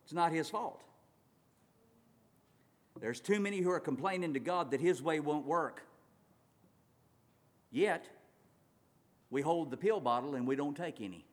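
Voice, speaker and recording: A man preaching in a church, his fairly high voice ringing with a long hall reverb. He swings from loud urgent passages to low, slow, quiet ones, stretching words out for weight. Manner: dramatic swings between loud and quiet, slowing for emphasis. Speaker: pastor. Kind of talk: sermon.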